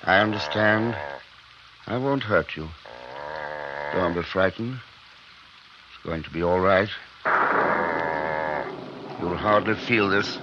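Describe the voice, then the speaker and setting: An actor playing a soothing, weary man in a 1950s radio drama, speaking in a hushed, halting, reassuring way. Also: sits low. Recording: muffled, very noisy vintage radio recording.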